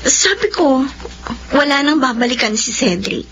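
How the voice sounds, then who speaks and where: High-voiced young bride. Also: female voice, big pitch swings, normal speed, fairly bright tone, dull audio, a little hiss or hum, full character voice. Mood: resolute, hurt. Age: young adult. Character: young pregnant bride, dutiful yet wounded. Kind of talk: romantic radio drama acting.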